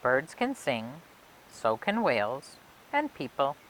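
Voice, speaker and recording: A woman reading a children's picture book aloud for a library storytime, expressively and phrase by phrase with emphatic pauses. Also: rumbling low, very animated, low-quality recording. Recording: clear background noise and hiss.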